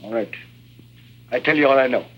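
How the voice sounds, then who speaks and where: A man's voice: mid-range voice, brisk, close to an everyday voice. A man acting a wily, boastful Eastern European people smuggler in a 1950s radio drama, grandiose and florid in his talk. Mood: businesslike, assured. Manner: grandiose, florid, self-admiring. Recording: dull old radio recording.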